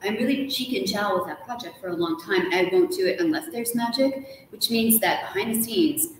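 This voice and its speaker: A woman's voice: a little high, expressive, moderate pace. Effusive woman in a very echoey room. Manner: effusive, playful, emphatic repetition. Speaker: guest, author of an audio drama. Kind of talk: casual, festive live interview chat. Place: ornate hotel room, indoors. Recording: echoey room, some distance, background noise.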